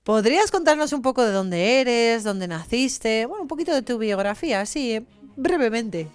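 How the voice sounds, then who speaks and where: A woman's voice: fairly high pitch, lively intonation, moderate pace, faint music behind. A woman hosting an interview show, welcoming her guest and asking guiding questions. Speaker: show host.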